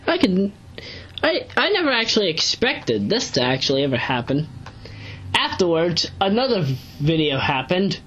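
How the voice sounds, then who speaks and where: A woman giving casual, unscripted commentary as an online reviewer, rambling and halting as she corrects herself. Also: on the low side, sing-song, a bit bright, husky, highs cut off. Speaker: online video reviewer and narrator. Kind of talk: casual unscripted commentary recapping a web series.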